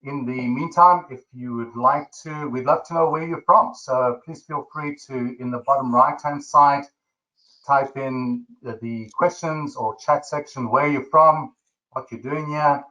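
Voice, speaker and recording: A man's voice: mid-range voice, steady tone, unhurried, pushed and bright, lo-fi sound. A man with a South African accent hosting a webinar, chatty and jokey with lots of fillers. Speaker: webinar host.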